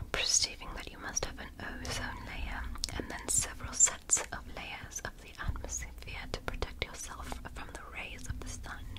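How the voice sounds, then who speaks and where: A woman whispering in ASMR roleplay as a curious, gentle alien doctor examining a human, speaking slowly and clinically with a breathy whisper up close to the mic. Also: lightly acted, low-quality recording. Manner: slow, soft, clinical and fascinated. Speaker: ASMR creator. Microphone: close sensitive microphone.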